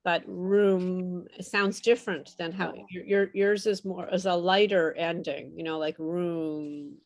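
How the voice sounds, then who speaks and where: Slow, patient woman teaching pronunciation. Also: lowish voice, fairly even intonation, a little mellow. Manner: patient, encouraging, explains with repeated example words. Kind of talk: online group pronunciation lesson.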